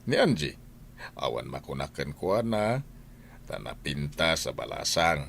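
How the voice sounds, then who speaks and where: A man with a Filipino Ilocano accent playing a kindly, calm master of the house in a radio drama. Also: extremely low pitch, measured pace, gravelly, slight background noise, full character voice. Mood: easygoing.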